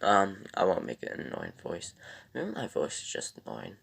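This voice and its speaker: A man with a raspy voice casually recapping a TV episode as a reviewer, in a rambling, halting way. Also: sits low, huge pitch swings, fairly bright tone.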